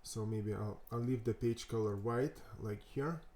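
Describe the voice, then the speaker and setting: A man with a Lithuanian accent hosting a coding tutorial, thinking aloud in a halting way with many fillers and restarts. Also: on the low side, dark tone. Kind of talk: casual screen-recorded coding walkthrough.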